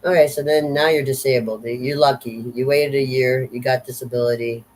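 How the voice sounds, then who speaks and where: A woman's voice: rumbling low, fairly even intonation, moderate pace, darkish tone, slight background noise, low-quality recording. Blunt, profane woman in her fifties ranting. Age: 50s. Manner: blunt, profane, repetitive, sardonic. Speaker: vlogger. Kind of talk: unscripted rant monologue.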